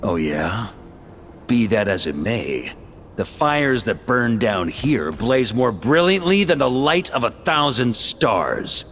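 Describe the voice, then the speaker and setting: A man's voice: medium pitch, lively intonation, takes it slowly, fairly bright tone, slight background noise. An actor playing a bold, defiant veteran knight in a video game, confident, wry and rousing in his delivery. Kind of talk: dramatic video game voice acting. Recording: music behind the voice. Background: dramatic game music.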